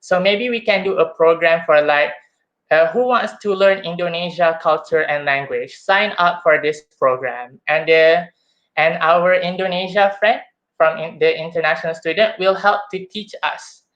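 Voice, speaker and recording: A man's voice: fairly high pitch, normal speed, fairly bright tone, dull audio. A young man with a Malaysian accent giving a chatty, somewhat hesitant student campaign speech, often calling his audience guys. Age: young adult. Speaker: student election candidate.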